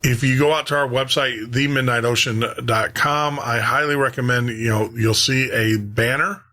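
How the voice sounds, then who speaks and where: A man's voice: mid-range voice, steady tone, moderate pace, pushed and bright. A man hosting a podcast, talking casually and conversationally as he introduces old-time radio shows, often repeating and rephrasing himself. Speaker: podcast host.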